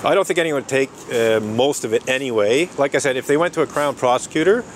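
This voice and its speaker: A man's voice: mid-range voice, quick pace. A man arguing his case on a city street, insistent and repeating himself, laughing between points. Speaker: street protester. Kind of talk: heated street argument. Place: city street outdoors near traffic. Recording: outdoor street noise, traffic.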